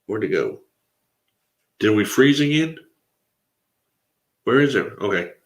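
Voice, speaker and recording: A man's voice: on the low side, big pitch swings, unhurried. A congested man hosting a casual live stream, talking in a rambling, laid-back way with lots of fillers. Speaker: livestream host. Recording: roomy echo.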